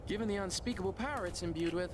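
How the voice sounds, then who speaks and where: An actor playing a youthful, quippy, excitable male superhero in a cartoon video game, joking and boyish. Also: sits high, rapid-fire, very noisy, lightly acted. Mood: playful. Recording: clear music behind.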